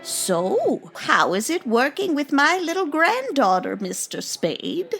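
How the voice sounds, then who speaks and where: A woman's voice: sits high, wildly swooping pitch, slow pace, vocal fry, a bit bright, faint music behind, exaggerated voice. A woman playing a sweet, chatty, oblivious old grandmother in a radio comedy, doting and exclamatory. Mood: gracious.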